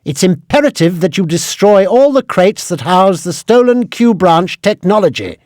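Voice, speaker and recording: A fussy older British quartermaster briefing an agent in a video game. His high voice swoops with exasperation, every instruction formal and precise. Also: very animated, normal speed, a little mellow, no echo, studio quality. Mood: officious briefing.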